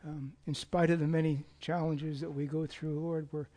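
An older man with a hoarse voice, as he is losing it, giving an informal sermon after an opening prayer, rambling and self-deprecating, with many fillers and restarts. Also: fairly high pitch, little pitch movement, moderate pace, warm tone. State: losing his voice. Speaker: lay preacher.

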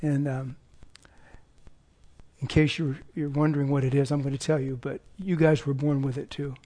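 An older man with a hoarse voice, as he is losing it, giving an informal sermon after an opening prayer, rambling and self-deprecating, with many fillers and restarts. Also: mid-range voice, flat delivery, moderate pace, mellow. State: losing his voice. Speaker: lay preacher.

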